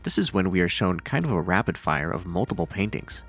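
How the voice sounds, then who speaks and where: Deep voiced guy doing horror commentary, dry sarcastic asides, faint music behind him. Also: animated, brisk, fairly bright tone, husky. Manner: dryly sardonic. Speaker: video narrator and reviewer. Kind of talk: scripted horror series commentary with casual asides.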